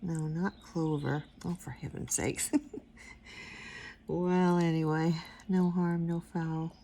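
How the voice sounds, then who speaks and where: Quiet, gravelly older woman. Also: fairly low pitch, fairly even intonation, takes it slowly, a little hiss or hum. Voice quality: quiet soft-spoken voice. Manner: rambling, trails off and mumbles while focusing. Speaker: watercolor instructor. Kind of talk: casual painting tutorial.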